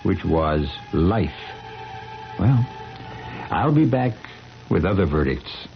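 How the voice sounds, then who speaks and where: Mystery host, male: wry, dramatic, widely inflected low delivery, old radio sound. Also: very animated, slow pace, gravelly. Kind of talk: old-time radio mystery narration, theatrical. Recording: muffled old radio recording with music.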